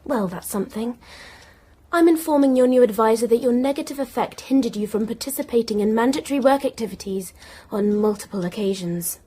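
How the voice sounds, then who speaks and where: An actress playing a smug, coldly officious jobcentre manager in a radio drama, patronising and full of jargon. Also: female voice, a little high, a little fast, warm tone, breathy edge.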